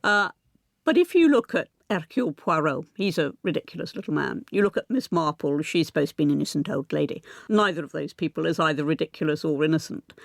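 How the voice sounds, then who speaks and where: A woman's voice: sits low, creaky, dry recording, clean studio sound. A woman presenting and interviewing on radio, articulate and emphatic in her phrasing. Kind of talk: scripted narration and conversational interviewing.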